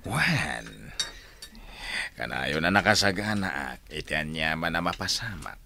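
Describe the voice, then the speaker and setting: Radio drama general: rock-bottom gravelly voice, unhurried, taunting, defiant. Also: male voice, a bass voice, big pitch swings, bright, forceful tone, a little hiss or hum, exaggerated voice. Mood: menacing. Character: defiant menacing male general daring his armed foe. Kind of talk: Ilocano radio drama acting.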